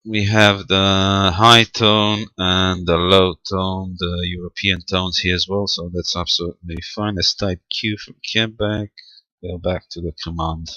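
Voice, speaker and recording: Deep-voiced, slow-talking man giving a tutorial. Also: flat delivery, pushed and bright, no echo. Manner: halting, matter-of-fact, thinking aloud. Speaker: amateur radio demonstrator. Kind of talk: unscripted hands-on technical tutorial.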